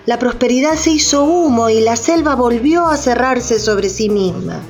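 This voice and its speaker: Female narrator for radio drama, slightly high pitch, scripted storytelling over light music. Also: normal speed, darkish tone, dull audio.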